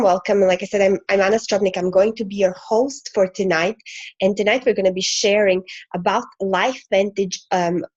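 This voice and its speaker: Woman from croatia hosting a zoom sales pitch, lots of ums, dry muffled call audio. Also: darkish tone, no echo. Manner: many fillers and restarts. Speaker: host of a sales presentation. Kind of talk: online business presentation. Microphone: video call.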